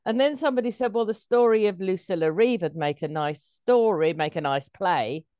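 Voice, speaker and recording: A woman's voice: medium pitch, animated, moderate pace, dark tone, smooth, dry recording, dull audio. A middle-aged woman, a stage performer telling anecdotes as an interview guest.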